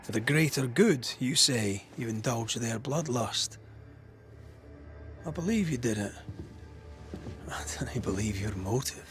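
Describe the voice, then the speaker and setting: Actor as slow, stern, judging investigator. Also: male voice, mid-range voice, animated, faint music behind, lightly acted. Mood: scathing. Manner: deliberate, scathing. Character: stern, judging male investigator delivering a grim verdict. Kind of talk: dramatic video game monologue.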